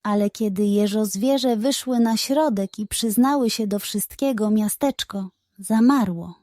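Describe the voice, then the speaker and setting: A woman's voice: mid-range voice, a little slow, mellow, dry recording, studio quality. A woman storyteller reading a scripted children's bedtime story.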